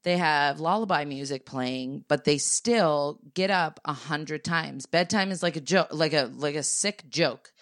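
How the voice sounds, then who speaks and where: Low-voiced woman, candid and jokey. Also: a little slow, hardly any pauses, no echo, studio quality. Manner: candid, jokey, tells stories about her kids. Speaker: podcast co-host. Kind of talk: casual chat.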